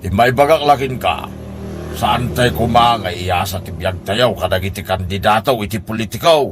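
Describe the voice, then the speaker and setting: A man's voice: fairly low pitch, normal speed, lightly acted. A man playing a nagging, concerned older uncle in a comedy radio drama. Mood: scolding. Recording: music under the voice. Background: background music.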